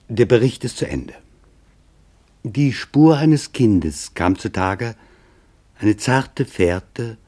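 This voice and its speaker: A man's voice: on the low side, a little mellow. Solemn male chronicler. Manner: solemn, deliberate. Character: grave, measured male chronicler reporting witness testimony. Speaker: narrator. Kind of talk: scripted German radio drama narration.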